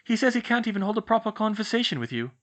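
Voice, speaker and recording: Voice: medium pitch, big pitch swings, rapid-fire, fairly bright tone, pitched above the performer's own voice. A narrator voicing a clever, bossy teenage witch girl who speaks in an earnest, insistent, scolding way. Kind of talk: fan fiction audiobook reading.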